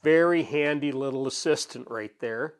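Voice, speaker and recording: A man in his sixties hosting a woodworking channel, explaining his workbench tricks in a relaxed, chatty way with frequent chuckles, recorded in his home workshop. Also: medium pitch, normal speed. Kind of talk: casual workshop demonstration. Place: home woodworking shop, indoors.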